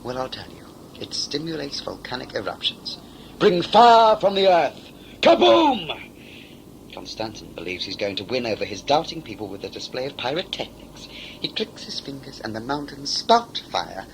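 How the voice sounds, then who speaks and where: A man's voice: mid-range voice, wildly swooping pitch, normal speed, gravelly, low-quality recording. Guy acting as smug chatty scifi villain, fake polite, voice swoops around loads, bit of noise behind. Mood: gloating. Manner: condescending, mock-polite, theatrically gloating. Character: smug, talkative male villain, covert agent and mocking saboteur. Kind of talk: audio sci-fi drama acting.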